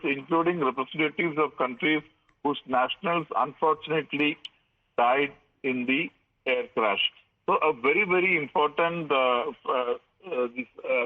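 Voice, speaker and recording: A man with an Indian accent giving a live legal news report in a halting manner full of fillers and repeats, over a narrow phone-like line. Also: on the high side. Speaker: legal editor reporting on air.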